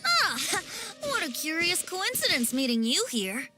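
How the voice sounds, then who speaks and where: A woman's voice: very high-pitched, wildly swooping pitch, measured pace, full character voice. A woman voicing a whimsical, theatrical young male bard in an anime-style game, speaking in a flowery, playful way. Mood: playful, grandiose. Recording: game music behind the voice.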